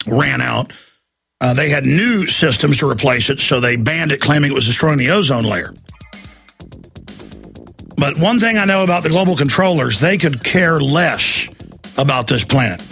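A radio talk show host, who is losing his voice, talking in an emphatic, ranting way that climbs high when he gets worked up. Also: vocal fry, sharp tone, breathy edge. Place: radio studio. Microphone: studio microphone.

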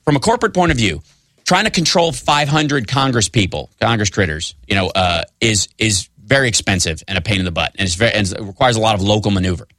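A man appearing as a studio guest on a talk show, sarcastic and animated while giving his opinions. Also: lowish voice, fast, no room sound. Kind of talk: political discussion.

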